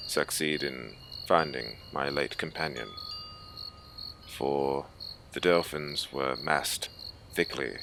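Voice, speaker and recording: A man playing a cold, haughty German naval officer recounting a horror story in a formal, measured, grave manner. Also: a bass voice, exaggerated voice. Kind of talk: scripted audiobook horror narration. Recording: clear ambient noise bed behind voice. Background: ambient soundscape.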